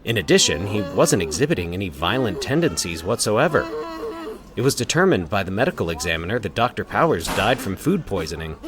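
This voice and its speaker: A man playing a weary, measured doctor explaining things in a dramatic story reading. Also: lowish voice, big pitch swings, music in the background. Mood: resigned.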